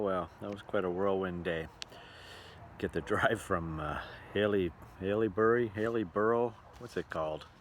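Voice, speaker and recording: A man talks casually to his video diary. He is chatty and rambling, as if amusing himself, with faint noise around him. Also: sits low. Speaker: travelling musical entertainer and vlogger.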